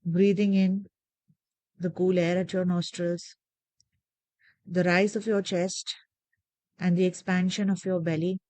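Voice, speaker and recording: A woman leading a guided meditation with gentle, measured, soothing instructions and deliberate pauses. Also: fairly low pitch, little pitch movement, dry recording.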